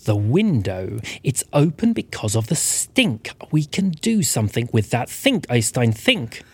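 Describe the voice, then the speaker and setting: A male storyteller with a slightly rough, husky voice and lively intonation. He delivers scripted rhyming narration dramatically, recorded close and dry. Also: mid-range voice, measured pace, no room sound. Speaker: co-narrator and writer.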